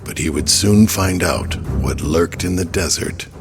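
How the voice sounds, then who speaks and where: A man with a deep voice narrates a horror audio drama. The delivery is scripted storytelling, and music plays clearly underneath. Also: a little slow.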